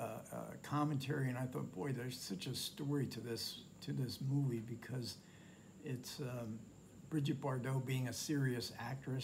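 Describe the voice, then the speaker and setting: Older man reviewing a film, halting. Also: medium pitch, a little mellow, slight background noise. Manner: hesitant, many fillers and restarts. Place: home living room, indoors.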